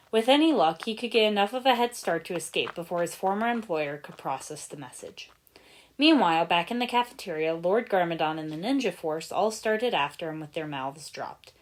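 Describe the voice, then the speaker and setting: Expressive woman reading a story aloud. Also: lowish voice. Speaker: fan fiction reader. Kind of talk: expressive scripted story narration.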